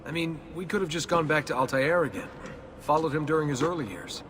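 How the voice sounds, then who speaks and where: A man's voice: fairly high pitch, lively intonation, brisk, background noise, lightly acted. A man playing a wry, questioning young everyman hero in a video game, speaking casually. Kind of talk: video game cutscene dialogue. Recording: music behind the voice.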